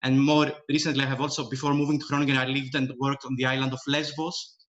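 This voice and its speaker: A man's voice: medium pitch, level tone, fast, a bit bright. A man from Greece with a Greek accent, a professor introducing himself on a video-call panel in a light, self-mocking way. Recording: dull lo-fi call audio.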